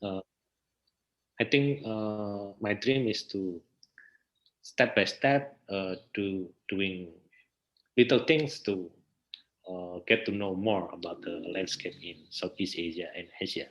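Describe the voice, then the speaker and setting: Man, Indonesian accent, lowish pitch, interview guest, halting, repetitive phrasing, conversational. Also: lo-fi sound. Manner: halting, repeats words, non-native English. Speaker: interview guest, theater researcher and actor. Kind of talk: casual interview answers.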